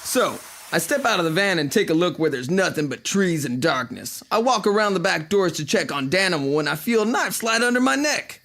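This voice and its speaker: An actor playing a cocky, theatrical vampire rock star, telling boastful and flippant stories in a podcast drama. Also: male voice, sits high, sing-song, fairly quick, hardly any pauses, fairly bright tone, a little hiss or hum, full character voice. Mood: cocky, dramatic. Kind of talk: fiction podcast acting, mock interview. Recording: music playing behind the voice.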